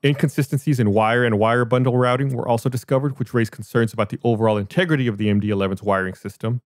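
A man's voice: mid-range voice, steady tone, fast talker, dry recording, clean studio sound. An American man hosting a podcast, reading out and explaining a report in a matter-of-fact way. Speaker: podcast host.

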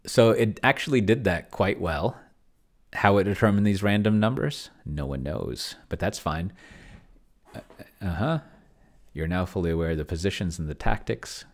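A middle-aged man with a very deep voice and a lot of vocal fry talks casually through what he is doing on a computer. He thinks out loud, often muttering and trailing off, as someone hosting alone from a home desk. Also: animated, moderate pace. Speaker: host. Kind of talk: casual thinking-aloud screen-share commentary. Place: indoor home room at a desk.

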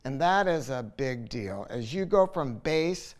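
A man's voice: mid-range voice, animated, a little slow. An older man presenting an informal product review to camera, conversational and opinionated, leaning on repeated words for emphasis. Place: listening room at home.

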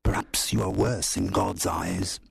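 Male reader as a solemn, husky spirit, deep and gravelly, dry sound. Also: a little slow, no room sound, full character voice. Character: kind but stern ancient spirit, solemn.

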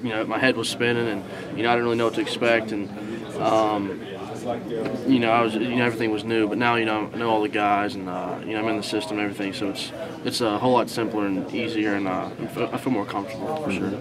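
A young man as a college football player, answering reporters in a halting way with many fillers and restarts, recorded on handheld recorders held close to him. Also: fairly low pitch, flat delivery, over a noisy crowd, rough recording. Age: young adult. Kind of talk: post-practice media scrum answers. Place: indoor hallway, crowd of reporters.

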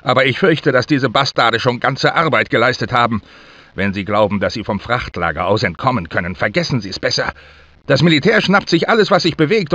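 An actor playing an anxious, learned research scientist in a video game, speaking in a formal, precise, explanatory manner. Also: male voice, medium pitch, fairly bright tone, no echo, muffled recording, lightly acted. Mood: grim, resigned. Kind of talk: scripted video game dialogue.

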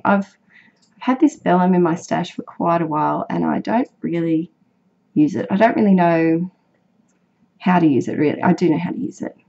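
A young woman with a croaky, sick voice, chatting casually as she narrates her craft project, rambling with fillers and self-corrections. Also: on the low side, a little mellow. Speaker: scrapbooking vlogger. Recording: dull lo-fi home recording.

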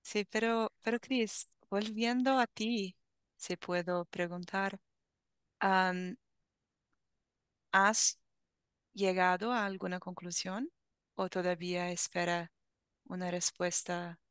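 A young woman teaching an online Spanish class, talking slowly and carefully, with encouraging remarks, over a headset on a video call. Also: on the low side, little pitch movement, clear, resonant tone, no echo. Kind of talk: online language lesson chat.

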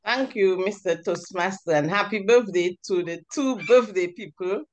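A woman's voice: medium pitch, normal speed, a little mellow. A woman giving a formal self-introduction on a video call. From Dominica. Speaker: club meeting speaker.

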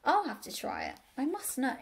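A woman's voice: high voice, huge pitch swings, moderate pace, creaky, heavily acted. A woman voicing a proud, exasperated old female sheepdog with a commanding manner in an animal storybook. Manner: barking, commanding, strained politeness.

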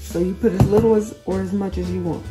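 A woman's voice: medium pitch, fairly even intonation, fast talker, over music, low-quality recording. Chatty woman cooking at home. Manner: chatty, talks straight to viewers, restarts and corrects herself. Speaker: cooking channel host. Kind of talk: casual cooking tutorial. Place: home kitchen.

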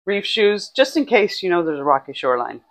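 A woman's voice: fairly low pitch, very animated, a little fast. A woman in her sixties chatting casually and listing items for a vlog, recorded indoors on a clip-on mic with some background noise. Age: 60s. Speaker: vlog co-host. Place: indoors in a small home kitchen and bedroom. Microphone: clip-on lapel mic.

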